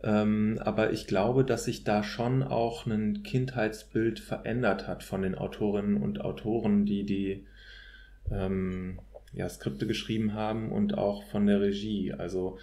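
A man's voice: lowish voice, level tone, a little slow, mellow. A man discussing things casually and analytically on a podcast, in a hesitant, considered way.

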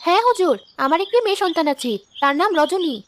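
A woman's voice: very high voice, expressive, fast talker, background noise, pitched above the performer's own voice. A woman voicing a poor, loving adoptive mother in a cartoon, pleading and protective. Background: background music.

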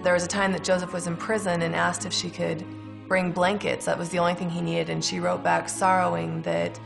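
Low, very creaky, even-toned woman. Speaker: documentary interviewee. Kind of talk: explanatory interview commentary on history. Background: music.

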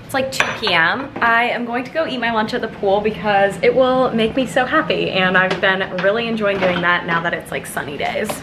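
A woman's voice: mid-range voice, brisk, few pauses. Young American woman vlogging in an echoey room. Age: 20s. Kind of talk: casual vlog chat. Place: indoors at home, rooms and kitchen. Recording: roomy home echo, some background noise.

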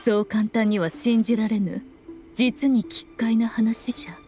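A woman voicing a sly, regal fox shrine maiden in an anime game, with measured, knowing, old-fashioned diction. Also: a little high, mellow, faint music behind. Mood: cool, pondering. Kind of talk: Japanese anime game voice acting.

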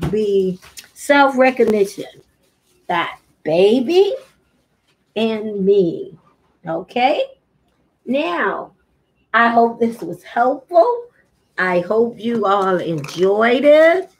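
A middle-aged woman giving a deliberate demonstration for parents of babies, sometimes in singsong baby talk, recorded at home on a webcam microphone. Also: on the high side, low-quality recording. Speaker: early childhood teacher, host of infant read-along. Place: room at home.

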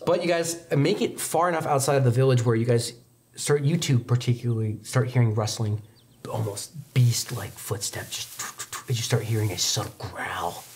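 A man in his thirties narrating a tabletop roleplaying game as game master, improvising descriptions haltingly. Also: medium pitch, a little mellow, slight background noise. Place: indoor studio set, behind a game master screen.

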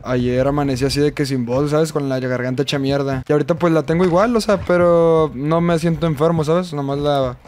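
A young Mexican man streams a shooter in a slightly high, hoarse voice. He talks fast in slangy, sweary casual chat, with gunfire and clicks behind him. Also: fairly even intonation, darkish tone, slight background noise. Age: young adult. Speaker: streamer playing a shooter game. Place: small indoor gaming room.

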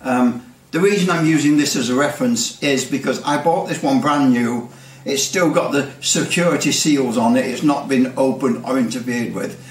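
A man's voice: mid-range voice, moderate pace. An older man in his seventies with a British accent, casually explaining technical things to camera and sometimes repeating his words. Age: older, around 70s. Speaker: presenter of a CB radio channel. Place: small indoor workshop with bare brick walls. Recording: roomy echo, distant microphone.

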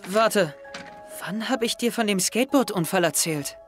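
A man's voice: high-pitched, moderate pace, a bit bright, soft background music. A young man playing an anxious, withdrawn, self-doubting teenage schoolboy in an audio drama. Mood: agitated. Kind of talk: audio drama dialogue and inner monologue.

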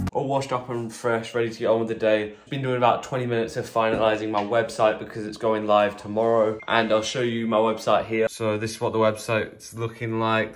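Low-voiced teen vlogger. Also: male voice. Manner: relaxed, chatty, low-key. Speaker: vlogger, youth footballer. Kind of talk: casual vlog to camera. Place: gym, kitchen, bedroom and car. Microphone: phone held at arm's length.

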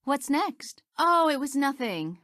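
A young woman voicing a brave, earnest, good-hearted young woman warrior for a video game, in expressive short lines. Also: sits high, slow, no echo, clean studio sound, exaggerated voice. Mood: triumphant. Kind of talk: video game character voice clips.

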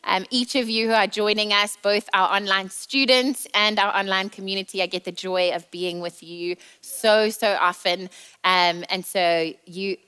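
A woman's voice: mid-range voice, steady tone, normal speed, pushed and bright, smooth. A woman in her thirties with a South African accent, preaching a sermon in a chatty, playful, teasing way on a large church stage. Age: 30s.

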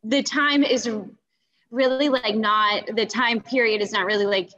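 A woman's voice: fairly high pitch, fairly even intonation, measured pace, sharp tone, muffled recording. Young woman chatting, searching for words. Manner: hesitant, searching for words, frequent "you know". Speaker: book club co-host. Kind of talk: casual book discussion. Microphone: video call computer mic.

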